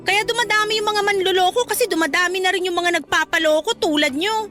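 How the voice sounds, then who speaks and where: A frank, practical grown child in a radio drama. The voice is extremely high, pleading yet respectful. Light music plays behind it. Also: female voice, fast, bright, forceful tone. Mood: earnest, reproving. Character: outspoken, practical grown child of a widowed mother. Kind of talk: Filipino radio drama acting. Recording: music playing under the dialogue.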